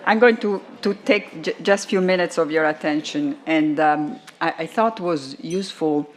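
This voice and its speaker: Woman, oncologist lecturing, dry humor, measured pace. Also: sits low, a little hiss or hum. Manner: wry, self-deprecating, deliberate. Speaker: oncologist giving a keynote talk. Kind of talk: conference talk.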